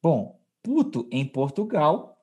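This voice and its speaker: A man's voice: a little high, big pitch swings, slow, mellow, husky. A Brazilian man hosting a podcast language lesson, explaining conversationally and checking in with his co-host. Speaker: podcast host teaching Portuguese.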